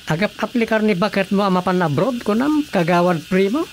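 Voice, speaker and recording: An actor playing a talkative, easygoing, sympathetic village councilor in a radio drama comedy, with an Ilocano Filipino accent. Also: male voice, high-pitched, lively intonation, a little fast, heavy background noise. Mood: curious, chatty.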